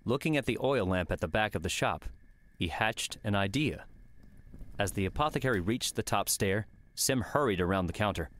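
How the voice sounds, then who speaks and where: A man's voice: lowish voice, expressive, normal speed, a bit bright. A man narrating an adventure novel as a scripted audiobook reading. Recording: faint background noise and ambience.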